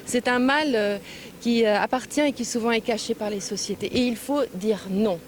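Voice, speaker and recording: A woman speaking as an official at a public event, phrasing deliberately with frequent hesitant pauses, recorded on location. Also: a little high. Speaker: European Union official giving a speech and interview. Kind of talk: public speech and press remarks.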